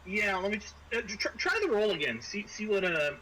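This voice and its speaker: A man's voice: sits high, lively intonation, fairly quick, a loud crowd, low-quality recording. A man acting as game master of a tabletop role-playing game, explaining rules and narrating the players' actions. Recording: faint background noise.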